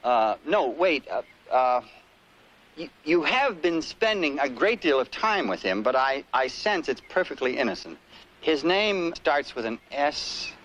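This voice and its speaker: Genteel sly stage mentalist, lively pitch. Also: male voice, a little slow, rough recording. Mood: probing, showy. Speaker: actor in a film. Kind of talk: 1970s TV thriller film acting.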